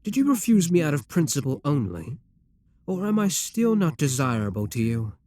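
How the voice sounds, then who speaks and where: A man playing a smooth possessive demon king. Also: fairly high pitch, moderate pace. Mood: musing. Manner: smooth, calm, coaxing and self-assured. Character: possessive, scheming male demon king captor. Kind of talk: audio roleplay addressed to the listener.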